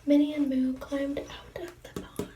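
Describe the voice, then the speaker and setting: A woman's voice: high voice, little pitch movement, moderate pace, reverberant. Young woman whispering a bedtime story. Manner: soft whisper with giggly asides. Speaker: storybook reader. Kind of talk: whispered ASMR story reading. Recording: distant mic, clear noise.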